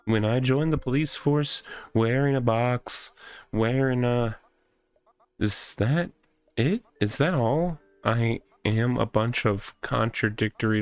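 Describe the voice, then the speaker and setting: Man acting a halting, creaky, self-pitying oddball cop. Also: mid-range voice, no echo. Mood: despairing, pathetic. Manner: halting, stammering, repetitive.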